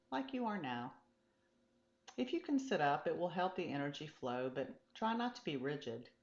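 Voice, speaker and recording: Woman voicing a calm, low-voiced meditation guide, roomy sound. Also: dull audio. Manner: gentle, reassuring, instructive. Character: calm, encouraging, patient meditation teacher and guide. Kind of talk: storybook character dialogue.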